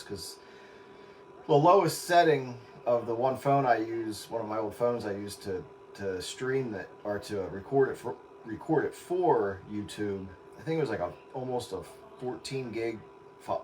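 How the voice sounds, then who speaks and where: A man's voice: mid-range voice, darkish tone, a little hiss or hum. A man hosting an informal online get-together, chatting casually and explaining things in an informal way. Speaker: host of an online radio round-up night. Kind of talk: casual chat.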